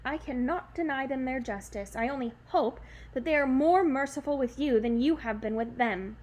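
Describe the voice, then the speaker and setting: Woman playing a steady, firm grandmother, storybook read-aloud. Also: high voice, normal speed. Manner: firm and composed. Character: calm, firm older grandmother.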